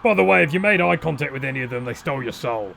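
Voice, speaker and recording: Man acting a gravelly, sweary British monster hunter. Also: mid-range voice, racing, a little hiss or hum. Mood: matter-of-fact. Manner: blunt, casual, bossy, deadpan bragging. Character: sweary, blustering veteran cosmic monster hunter, middle-aged man. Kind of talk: mockumentary comedy voice acting, talking to camera.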